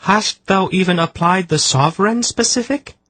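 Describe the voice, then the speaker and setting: A man narrating an audiobook voices a solemn blindfolded woman seeress who speaks in slow, archaic, formal phrases. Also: high-pitched, bright, forceful tone, pitched above the performer's own voice. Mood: anguished. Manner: archaic formal diction, slow drawn-out pleading.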